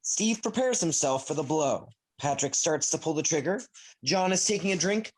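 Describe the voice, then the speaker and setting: A narrator reading stage directions aloud at a comedy table read in a husky voice. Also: medium pitch, lively intonation, normal speed, slight background noise, rough recording. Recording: video call.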